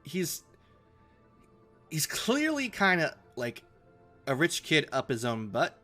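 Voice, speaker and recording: A man hosting a book reading in casual, slangy discussion, halting as he thinks aloud. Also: a little high, wildly swooping pitch, fairly bright tone, faint music behind. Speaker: host of live classic novel readings.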